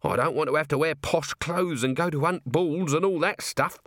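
Man putting on a whiny little corporal, high and moaning. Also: normal speed, fairly bright tone, no room sound, clean studio sound, lightly acted. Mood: woeful. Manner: moaning, whining. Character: scruffy small male corporal, whiny and woeful.

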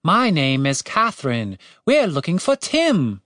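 A woman's voice: low-pitched, sing-song, normal speed, no echo, clean studio sound, voice pushed up. Woman playing a brash, impatient elf woman. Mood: firm, impatient. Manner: blunt, sarcastic, demanding.

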